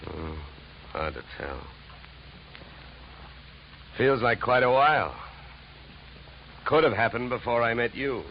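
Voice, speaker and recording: An actor playing a cool, brisk, sardonic insurance investigator in a vintage radio detective drama. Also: male voice, medium pitch, lively intonation. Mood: guarded, matter-of-fact. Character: cool, wisecracking freelance insurance investigator, male. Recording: dull, hissy vintage radio recording.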